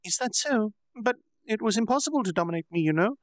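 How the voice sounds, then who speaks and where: A man's voice: sits high, animated, fast, dry recording, pitched above the performer's own voice. A man voicing a proud, childlike girl demon lord who boasts in a bubbly sing-song.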